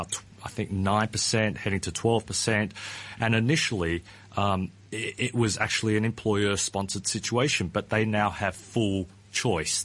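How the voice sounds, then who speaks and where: A man's voice: on the low side, a bit bright. A man being interviewed as a guest about pensions. He hedges, often starts with "look" and repeats his words. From Australia. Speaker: pension ratings firm founder.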